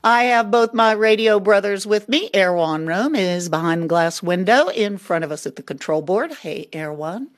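A woman hosting a scripted radio programme in a chatty, expressive way. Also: medium pitch, normal speed, hardly any pauses. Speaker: radio show host. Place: radio studio.

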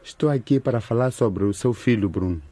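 An actor playing a patient doctor in a radio drama, calm and reassuring as he explains things. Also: medium pitch, brisk, close to an everyday voice.

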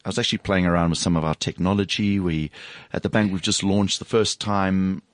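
A man with a hoarse, husky voice, speaking as a guest on a studio radio show, reflective and hesitant, with many fillers. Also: low voice, steady tone, brisk, no echo. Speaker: bank executive guest. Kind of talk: casual radio chat.

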